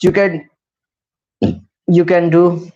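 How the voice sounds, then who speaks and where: A man's voice: sits high, little pitch movement, extremely slow, a little mellow. A young man with an Indian English accent, teaching a beginner English lesson on a live stream and explaining each step, often asking "right?". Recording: slightly dull lo-fi live stream audio.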